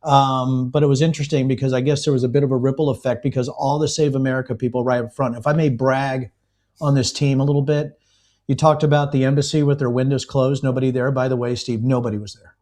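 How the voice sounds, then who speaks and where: Male guest, rambling anecdotes full of ums and restarts, mid-pitched. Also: flat delivery, darkish tone. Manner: rambling storyteller, halting with fillers. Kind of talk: live remote interview.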